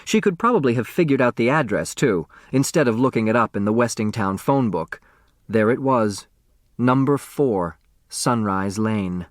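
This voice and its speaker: A man's voice: medium pitch, lively intonation, moderate pace, no room sound, studio quality. A man narrating a story from a script, in the dramatic style of an audiobook.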